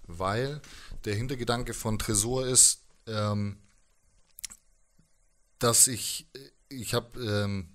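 A man in his thirties talking casually in an interview, reflective and halting, into a handheld microphone held close. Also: lowish voice, a bit bright. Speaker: musician being interviewed. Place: living room with instruments, indoors.

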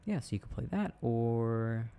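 Sweet, shy young boyfriend played by a male actor for ASMR roleplay: soft, tender, halting, slow and mellow, close-miked. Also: medium pitch, expressive, clean tone. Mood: playful, relaxed.

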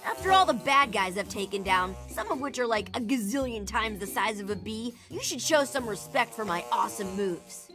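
Cocky, high-pitched cartoon boy hero. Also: expressive, moderate pace, few pauses, over music. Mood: boastful. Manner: brash, casual, wisecracking. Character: cocky, impulsive ten-year-old boy hero. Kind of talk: cartoon game voice acting.

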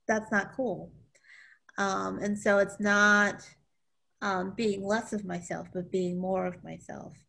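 A woman's voice: mid-range voice, creaky. Candid woman coach on a video call. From born in Okinawa, grew up in California. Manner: candid, animated, trailing off softly at times. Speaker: leadership coach and panelist. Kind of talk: panel discussion. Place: small home room indoors. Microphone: laptop camera on video call.

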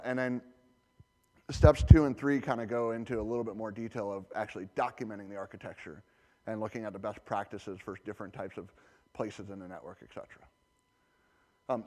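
A man's voice: mid-range voice, darkish tone. A man giving a conference talk as a presenter, conversational with many fillers and restarts. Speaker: conference presenter, security architect. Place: large conference hall. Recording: reverberant hall.

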